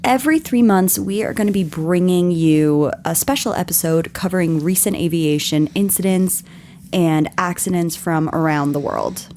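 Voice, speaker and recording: A woman hosting a podcast, her voice scratchy and strained from yelling, explaining things in an earnest, scripted way. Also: fairly low pitch, normal speed. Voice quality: scratchy, husky. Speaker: podcast host.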